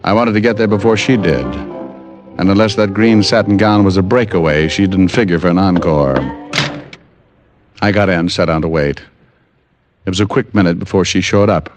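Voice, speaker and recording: An actor playing a hard-boiled private detective who narrates with deadpan wisecracks in a 1950s radio drama. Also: male voice, low voice, moderate pace, long pauses, lightly acted. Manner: wisecracking, deadpan tough-guy patter. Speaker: narrator and lead. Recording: old radio recording with band music.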